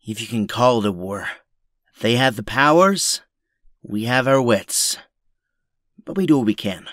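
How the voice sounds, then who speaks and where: A man acting as a grizzled older rebel captain in an audio drama. He is weary and principled, grave and commanding, in a mid-pitched voice recorded dry. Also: animated, a little slow, fairly bright tone, dry recording.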